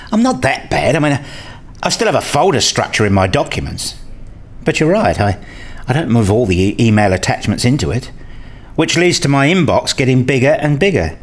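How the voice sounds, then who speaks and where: A man's voice: mid-range voice, very animated, normal speed. A man acting a frustrated, restless academic who narrates his dreary days in a radio play, wry and grumbling. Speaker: narrator and lead character. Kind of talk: first-person radio play narration and dialogue.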